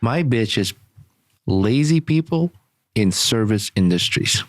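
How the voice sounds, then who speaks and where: A man's voice: lowish voice, expressive, slow, dry recording, clean studio sound. A man whose first language is German, co-hosting a podcast in crude, casual banter, swearing freely and laughing often. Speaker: podcast co-host.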